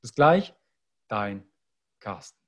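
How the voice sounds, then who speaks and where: A man's voice: fairly low pitch, big pitch swings, takes it slowly, a bit bright, lo-fi sound. A man hosting a health podcast, reading an upbeat promotional intro and then interviewing a guest. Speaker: podcast host and health coach.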